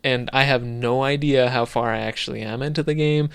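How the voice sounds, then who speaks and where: A man's voice: medium pitch, fairly even intonation, a little fast, gravelly, clear, resonant tone, no echo. A man co-hosting a casual podcast, chatting loosely with many fillers and restarts. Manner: rambling, lots of fillers and restarts. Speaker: podcast co-host.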